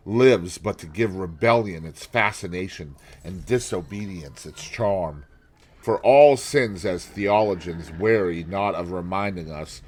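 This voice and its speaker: A man reading literary fiction as an audiobook narrator, dramatic and deliberate, letting his phrases drop at the end. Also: fairly low pitch.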